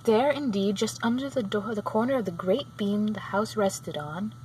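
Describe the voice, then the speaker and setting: A woman reading a children's book aloud, sometimes halting and going back over a phrase. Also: medium pitch, a little mellow, poor audio. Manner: halting, often rereads phrases. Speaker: storybook reader. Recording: clear background noise.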